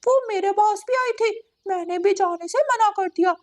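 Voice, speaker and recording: A woman voicing an alarmed, helpful male parrot in a children's cartoon story, squeaky and urgent. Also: moderate pace, darkish tone.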